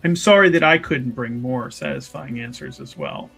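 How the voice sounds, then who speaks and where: A man's voice: mid-range voice, normal speed, vocal fry, fairly bright tone, soft background music, pitched below the performer's own voice. A man playing a humble, devout sister in a fantasy tabletop game, polite and formal in speech. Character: humble, devout religious sister, soft-spoken woman.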